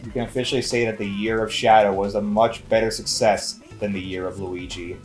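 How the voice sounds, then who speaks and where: A man's voice: lowish voice, flat delivery, fairly quick, soft background music. A man co-hosting a casual movie review, with a wry, mock-serious way of talking. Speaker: movie review show co-host. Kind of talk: casual banter and film review.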